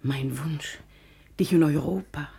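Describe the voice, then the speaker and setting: A woman's voice: low voice, slow, vocal fry, dark tone, slightly rough, full character voice. An actress playing a devoted, meddling older lady's companion in classical radio drama, speaking insistently. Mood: hopeful, fretful.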